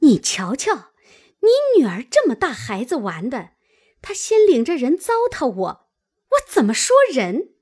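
A woman's voice: fairly high pitch, sing-song, measured pace, darkish tone, slightly rough, voice pushed up, heavily acted. A woman voicing a foolish, domineering old servant woman in a storytelling reading, scolding and nagging. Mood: aggrieved complaining. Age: older. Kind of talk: dramatised classic novel storytelling.

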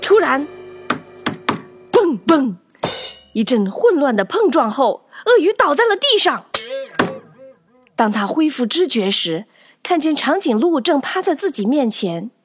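A woman narrating a children's story in an expressive, animated scripted style, acting out the tale. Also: high voice, measured pace, pauses often, music in the background.

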